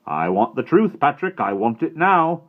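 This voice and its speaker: A stern school headmaster demands answers in gruff, commanding tones, as a man reads him aloud. The voice rises into a firm, carrying bark. The sound is thin and tinny, like a phone line. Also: fairly high pitch, very animated, moderate pace, voice pushed up. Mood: stern questioning. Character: stern male school headmaster, middle-aged.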